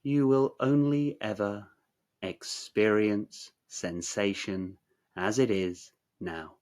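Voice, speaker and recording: A man narrating a guided meditation in a measured, soothing, instructional way, leaving long pauses. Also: lowish voice, steady tone, darkish tone.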